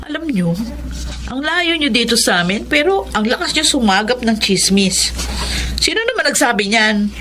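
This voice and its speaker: A woman's voice: on the high side, big pitch swings, normal speed, sharp tone. An actress playing a harsh, drug-using mother in a radio drama, curt and dismissive with her family. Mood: irritated, defensive. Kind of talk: Tagalog radio drama acting.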